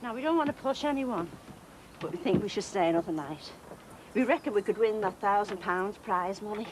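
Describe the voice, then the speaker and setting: An actress playing a pushy, chirpy wife. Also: female voice, mid-range voice, brisk, background noise, close to an everyday voice. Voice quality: pushy, cheery, bossy. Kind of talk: 1990s TV soap acting.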